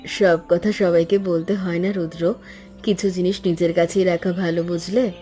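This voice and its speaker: A woman's voice: fairly low pitch, level tone, normal speed, mellow, close to an everyday voice. A woman playing a modest, guarded young woman in a cartoon, speaking in a polite, reserved way. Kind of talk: cartoon voice acting. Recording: music under the voice.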